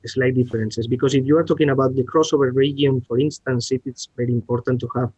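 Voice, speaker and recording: A man with a Spanish accent giving a halting technical explanation as a guest expert, often restarting his words. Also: medium pitch, fairly even intonation, a little mellow, breathy edge, dry recording, lo-fi sound. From Spain. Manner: halting, searching for words with repeated starts. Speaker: R&D director, podcast guest. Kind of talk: technical presentation and discussion.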